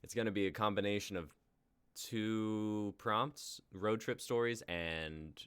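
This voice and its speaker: A man's voice: fairly low pitch, fairly even intonation, takes it slowly, a bit bright, clear, resonant tone, no room sound. A man in his twenties casually hosting a live-stream show in a jokey, informal way. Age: 20s.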